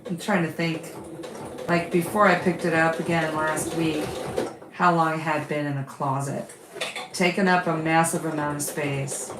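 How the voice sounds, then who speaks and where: A woman's voice: low-pitched, steady tone, normal speed, reverberant, noisy background. A woman who has lost her voice, hosting a quilting livestream, chatting casually with viewers and reading their comments while she sews.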